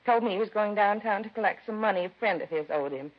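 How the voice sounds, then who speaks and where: A middle-aged housewife played by an actress with a husky, medium-pitched voice. She is fretful and puzzled, answering plainly in a 1950s radio drama with muffled sound. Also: fast talker, natural voice.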